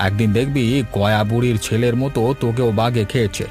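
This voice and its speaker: Guy playing village dad in a cartoon, medium pitch, easygoing but can be stern, faint music. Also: steady tone, fairly quick, darkish tone, natural voice. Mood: stern scolding. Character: easygoing, placating village husband and stern father. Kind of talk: cartoon voice acting.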